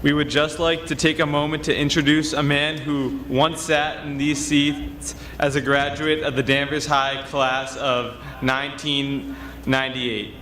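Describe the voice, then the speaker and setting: A man's voice: fairly high pitch, little pitch movement, a little slow, crowd noise. A young man, a student class officer, giving a formal introduction at a graduation ceremony. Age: young adult. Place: large graduation ceremony venue, amplified speech. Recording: echoey public address with faint noise.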